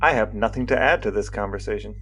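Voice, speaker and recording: An American man co-hosting a podcast, explaining things casually in a measured, matter-of-fact way. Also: on the low side, a bit bright, soft background music. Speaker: podcast co-host.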